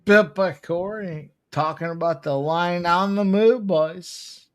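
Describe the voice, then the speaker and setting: A drunk man co-hosting a fight stream, slurring and swearing as he reads out betting lines. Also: high-pitched. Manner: slurred, slow, profane. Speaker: co-host giving betting picks.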